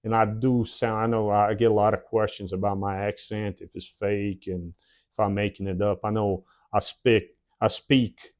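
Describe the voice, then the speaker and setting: A man in his forties from the former Yugoslavia, with a Slavic accent and a Southern drawl, telling his own story plainly with many "uh" pauses in his shed workshop. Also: on the low side, little pitch movement, a little mellow. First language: a South Slavic language. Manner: plain, unhurried, frequent "uh" pauses. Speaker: channel host. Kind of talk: casual personal storytelling.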